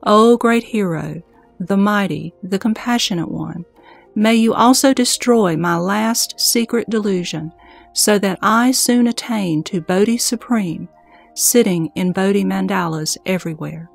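A woman recites devotional Buddhist verse very slowly and reverently. Her mid-pitched voice has a clear creak to it, and music plays behind her. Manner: solemn, reverent, deliberate.

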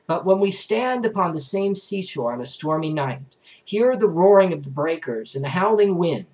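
A young adult man reads a religious book aloud in a formal, deliberate, rhetorical way. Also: high voice, expressive, a little mellow, rough recording. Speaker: book reader. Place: small bedroom, indoors.

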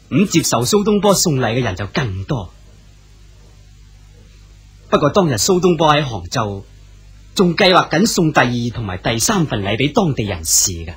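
A man's voice: medium pitch, husky. A man with a Cantonese accent narrating a radio drama in a storytelling manner. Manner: storytelling delivery.

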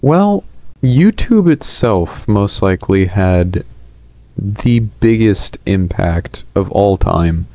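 A man in his twenties presents a video essay at an unhurried, slow pace. His voice is low and a little mellow, and he explains things conversationally into a close, dry headset mic. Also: expressive, dry recording. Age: 20s. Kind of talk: semi-scripted video essay narration. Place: small indoor room. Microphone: headset boom mic.

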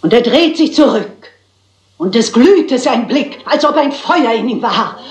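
An actress in a German crime drama playing a prim, plucky older spinster sleuth, speaking in a theatrical, articulate, dignified manner. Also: female voice, fairly high pitch, expressive, a little slow, echoey room, soft background music. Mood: dramatic reciting.

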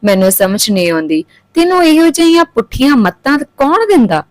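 A woman's voice: high voice, sing-song, normal speed, dry recording, pitched above the performer's own voice. A woman voicing a stern mother in an audio story, admonishing her younger daughter.

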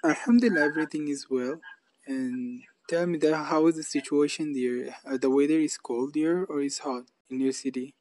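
A man's voice: on the high side, steady tone, normal speed, a little mellow, poor audio. Man hosting an English practice call. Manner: simple non-native English, repeats phrases. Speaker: YouTube channel host. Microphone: video call.

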